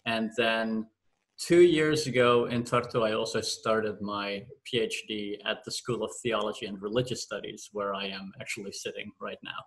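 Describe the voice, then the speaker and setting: A man from the Netherlands, a doctoral student, answering interview questions thoughtfully with pauses. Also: fairly low pitch, fairly even intonation, poor audio.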